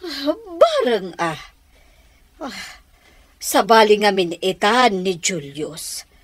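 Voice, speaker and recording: A woman's voice: mid-range voice, sing-song, slow, no room sound. A woman with a Filipino accent acting a scheming, money-minded middle-aged mother in a radio drama.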